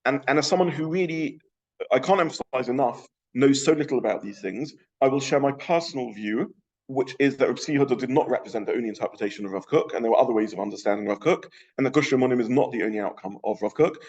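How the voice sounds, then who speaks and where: A man's voice: mid-range voice, fast, darkish tone. A middle-aged man with a London accent giving an informal lecture, thinking aloud with frequent restarts. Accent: London English. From England.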